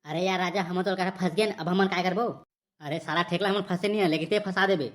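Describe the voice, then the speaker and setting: Woman voicing a fast-talking, jittery Chhattisgarhi village lad. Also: lowish voice, fairly even intonation, natural voice. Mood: fretting. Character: jittery, wisecracking young village men in trouble. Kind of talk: Chhattisgarhi comedy cartoon voice acting.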